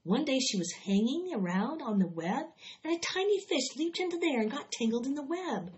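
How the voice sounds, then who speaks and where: A woman's voice: high-pitched, lively intonation, normal speed, darkish tone, pitched above the performer's own voice. A woman reading aloud, voicing an eager, imaginative little farm girl who talks earnestly and insistently. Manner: earnest, insistent, breathless storytelling. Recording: dull lo-fi recording.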